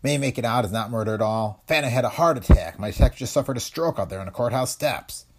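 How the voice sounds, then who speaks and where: A man voicing a smug male police lieutenant who talks in a gruff, mocking way. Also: mid-range voice, fast talker, noisy background, voice pushed up, natural voice.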